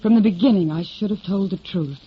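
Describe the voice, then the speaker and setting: An actress playing a plucky, stubborn young singer in an old radio drama, earnest and confiding with a wry edge. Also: female voice, mid-range voice, brisk, natural voice. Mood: regretful confession. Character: plucky, stubborn young woman singer seeking showbiz work. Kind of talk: 1940s radio drama acting and narration. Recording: muffled old radio broadcast, music behind.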